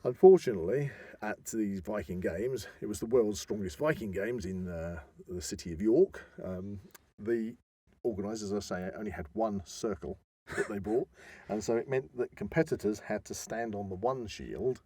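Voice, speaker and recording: A man's voice: lowish voice, moderate pace, warm tone, husky, dry recording. A British man co-hosting a podcast in casual, jokey, rambling chat, recorded close up in a studio. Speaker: podcast co-host. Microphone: close studio microphone.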